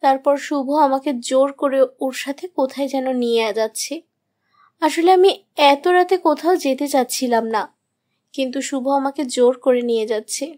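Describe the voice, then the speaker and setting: A very high-pitched young wife played by a woman tells her cartoon horror story. She sounds anxious and suspicious of her husband, with dramatic, troubled delivery. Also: moderate pace, a little mellow, lightly acted. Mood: distressed. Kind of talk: first-person scripted horror story narration.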